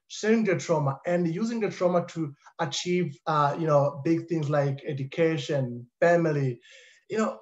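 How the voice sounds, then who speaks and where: A man in his thirties whose first language is French, speaking earnestly as a panelist on a video call through a headset. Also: a little high. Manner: earnest, emphatic, repeats for stress. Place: home living room with high ceiling. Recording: dull muffled video call audio.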